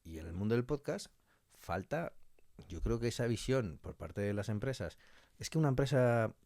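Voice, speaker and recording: A man's voice: mid-range voice, lively intonation, moderate pace, a little mellow, no echo, clean studio sound. A man with an accent from Spain talking as an interview guest, giving opinions with rhetorical questions and imagined quotes. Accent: Spanish (Spain). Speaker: interview guest, audio fiction writer.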